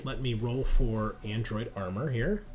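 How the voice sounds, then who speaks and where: A man acts as game master for an online tabletop game. He talks casually in a mid-range voice. Noise is clearly audible behind him on his home mic. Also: mid-range voice, unhurried, a little mellow. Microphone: home mic on online group call.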